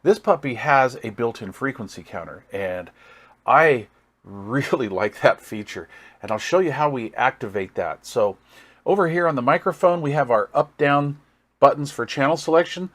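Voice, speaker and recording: A man's voice: mid-range voice, expressive, normal speed, a bit bright. A man giving a casual hands-on product demonstration, thinking aloud with some hesitation as he goes. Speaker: radio review host.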